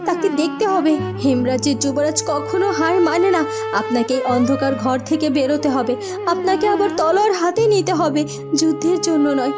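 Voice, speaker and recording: A woman's voice: high voice, normal speed, loud music behind the voice, lightly acted. A woman playing a resolute, impassioned princess and grieving mother in a cartoon. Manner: firm, impassioned, exhorting.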